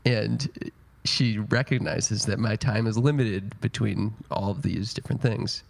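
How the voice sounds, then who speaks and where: A man's voice: on the low side, measured pace, creaky. A young man chatting casually as a podcast host. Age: young adult. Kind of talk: casual chat.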